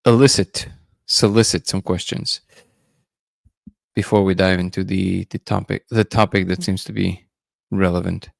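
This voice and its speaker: A man's voice: low-pitched, fairly even intonation, normal speed, vocal fry, no room sound. A man hosting a casual livestream, musing loosely and greeting viewers by name. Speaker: livestream host.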